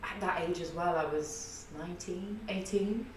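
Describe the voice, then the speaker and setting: A woman in her early thirties with a husky, low alto voice, telling a long story in a rambling, chatty way with many fillers in a podcast interview. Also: moderate pace, recorded from a distance, a little hiss or hum. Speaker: guest, singer and theatre artist. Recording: echoey room, voice off-mic.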